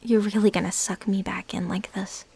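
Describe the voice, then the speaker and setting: A woman playing a lovesick, bitter ex-stalker fighting her obsession, in an emotional, halting audio roleplay monologue. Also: mid-range voice, flat delivery, gravelly, lightly acted. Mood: weary, conflicted. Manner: halting, emotional, swinging between hushed whispers and outbursts.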